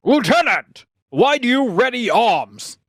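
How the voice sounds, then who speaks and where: A man's voice: very high-pitched, very animated, noisy background, exaggerated voice. A man playing an earnest, anguished idealist in an impassioned, theatrical radio play reading. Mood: alarmed. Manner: impassioned, dramatic, drawn-out delivery. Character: earnest, anguished male idealist pleading for peace.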